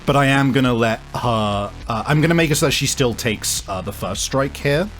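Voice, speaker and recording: A man runs a tabletop role-playing game, improvising his narration in a casual way. He often pauses to think aloud and rework a phrase. His mid-pitched voice sits over faint music. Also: fairly bright tone. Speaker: game master.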